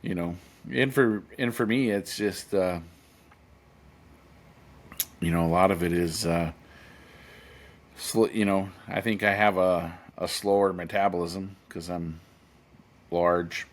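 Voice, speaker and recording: A fifty-year-old man talking casually on a podcast, his voice sitting fairly low. He rambles through thoughts with lots of "um" and "you know", restarting sentences, earnest and matter-of-fact throughout. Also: fairly even intonation, takes it slowly, pauses often. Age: 50s. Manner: rambling, many fillers and restarts. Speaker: podcast co-host. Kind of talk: casual chat.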